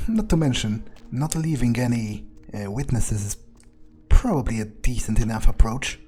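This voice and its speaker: A man role-playing a ruthless, brooding vampire in a game playthrough, thinking aloud in a halting, coldly wry way. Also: medium pitch, a little mellow, lightly acted. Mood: coldly menacing. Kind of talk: in-character game playthrough narration.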